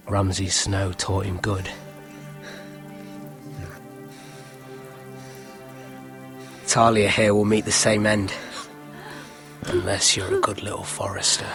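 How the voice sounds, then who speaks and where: A man's voice: lowish voice, a bit bright. A man playing an arrogant, cruel young lord in a dramatic video game, sneering and petulant as he taunts. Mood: cruel taunting. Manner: sneering, taunting, profane, imperious.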